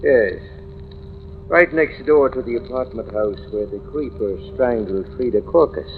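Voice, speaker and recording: A man's voice: medium pitch, a little slow, lightly acted. An actor playing an over-helpful, unsettling building workman in an old-time radio thriller, folksy and insistent with odd hesitations. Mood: eerily casual. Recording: noisy, tinny old radio recording.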